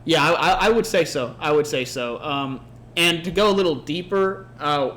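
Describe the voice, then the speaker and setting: A man's voice: on the high side, moderate pace, a bit bright. A man from Mexico co-hosting a podcast, talking in an earnest, casual way with many fillers. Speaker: podcast co-host. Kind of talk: casual unscripted conversation. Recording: faint background noise.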